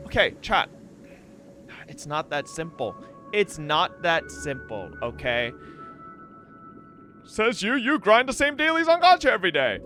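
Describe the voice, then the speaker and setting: A woman's voice: fairly low pitch, very animated, measured pace, pauses often, a bit bright. A woman doing casual livestream reaction commentary, blunt and mocking, swearing and talking back to the characters. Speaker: streamer reacting to a game story. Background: game music.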